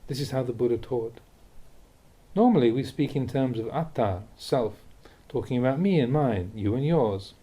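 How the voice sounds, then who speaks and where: Guy reading a buddhist talk, really mellow dark voice, lots of up and down in pitch, measured phrasing. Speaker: Buddhist monk reading translated teachings. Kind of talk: read-aloud dharma talk, instructive narration.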